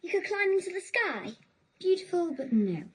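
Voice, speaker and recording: Dreamy kid in a radio play, really high voice, swoopy, playful, faint ambience. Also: female voice, sing-song, normal speed, a crowd in the background. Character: dreamy, imaginative child telling fairy-tale stories. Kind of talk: audio drama acting.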